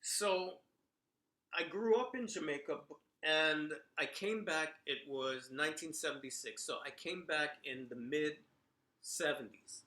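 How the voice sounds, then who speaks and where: A man's voice: on the high side, unhurried, bright, forceful tone, echoey room. A middle-aged man from the Bronx, telling memories in a casual monologue full of "you know" and "right?". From New York, Bronx. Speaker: commentator sharing memories.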